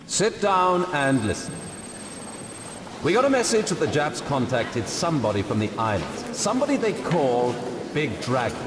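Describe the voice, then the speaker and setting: An actor playing a commanding gangster in a video game, briefing a job in a businesslike manner. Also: male voice, medium pitch, big pitch swings, moderate pace, natural voice. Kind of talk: video game cutscene acting. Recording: echoey hall, loud background noise.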